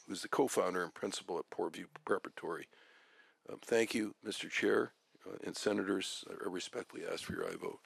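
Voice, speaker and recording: Man, state legislator, measured formal delivery, low gravelly voice. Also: no echo. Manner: measured, reading prepared remarks. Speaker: state senator presenting a bill. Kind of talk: formal committee testimony.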